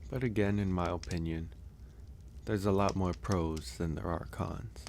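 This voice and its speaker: A man voicing a gentle young werewolf in a soft, intimate ASMR roleplay, with an unhurried, hesitant and reassuring manner. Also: low-pitched, fairly even intonation, gravelly, slight background noise. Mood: thoughtful, relaxed. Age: young adult. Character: gentle young male werewolf, shy mountain recluse.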